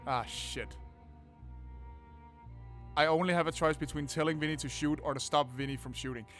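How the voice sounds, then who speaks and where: Higher-voiced man doing sweary game commentary. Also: moderate pace. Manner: casual, sweary, expressive. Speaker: gaming video host. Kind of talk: game playthrough commentary, reading narration aloud. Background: faint game music.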